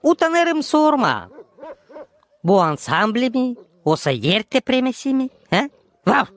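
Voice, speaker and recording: An actor voicing a boisterous, grumbling, excitable animal musician in a cartoon, muttering and exclaiming. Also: a little slow, exaggerated voice. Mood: grumbling, excited. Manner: exclamatory, muttering, swooping between low and high. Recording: music behind the voice.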